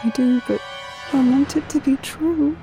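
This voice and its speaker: A woman's voice: high-pitched, moderate pace, music in the background. A woman playing a vulnerable, entranced soldier in an audio drama, yearning and struggling to resist.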